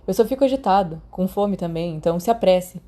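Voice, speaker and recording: A woman's voice: lowish voice, lively intonation, a little fast, dark tone, lightly acted. A Brazilian woman voicing a reserved, weary but good-humoured teenage boy in a story. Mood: hurried.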